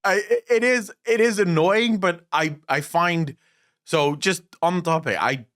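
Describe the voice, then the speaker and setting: A man's voice: sits high, a little slow, fairly bright tone. A man whose first language is Afrikaans, co-hosting a gaming podcast in casual, blunt, profane chat. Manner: blunt, profane, opinionated ranting. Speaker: podcast co-host.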